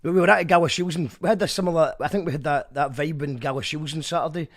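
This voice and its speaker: Radio host, friendly and halting. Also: male voice, a little high, steady tone. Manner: friendly, halting with fillers and repeats. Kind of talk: casual interview chat, reading listener comments.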